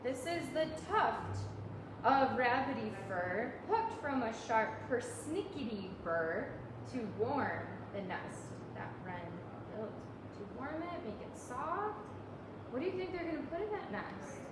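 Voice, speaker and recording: A woman's voice: on the high side, big pitch swings, unhurried. A woman leading story time as a children's librarian, talking simply to preschoolers, asking them questions and reading aloud. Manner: simple child-directed talk with frequent questions. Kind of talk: interactive read-aloud to preschoolers. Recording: very echoey room, distant mic, noisy.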